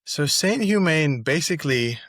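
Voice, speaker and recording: A man's voice: a little high, animated, unhurried, fairly bright tone, no echo, studio quality. A man from Singapore, a musician, answering interview questions in an easygoing, hesitant way, often restarting his phrases. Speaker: musician guest. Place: Seoul, recording remotely.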